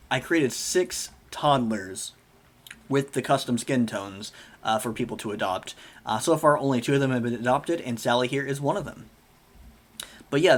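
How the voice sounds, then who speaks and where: A man casually narrating his life-sim game as a gaming video host, rambling with many fillers and self-corrections, his voice hoarse and going. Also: mid-range voice. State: losing his voice. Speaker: gaming YouTuber.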